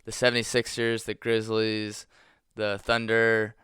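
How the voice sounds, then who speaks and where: A man's voice: fairly low pitch, monotone, a little slow, fairly bright tone, smooth, no echo, clean studio sound. A man in his twenties co-hosting a casual podcast chat, rambling with fillers and laughing often. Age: 20s. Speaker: podcast co-host.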